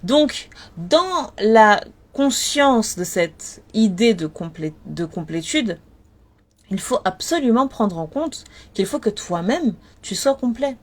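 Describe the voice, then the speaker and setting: A woman talking earnestly and directly to her listeners, repeating words and pausing on fillers as she gives spiritual advice. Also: medium pitch, very animated. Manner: earnest, repetitive, lots of fillers. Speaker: spiritual advice content creator. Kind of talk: casual talk.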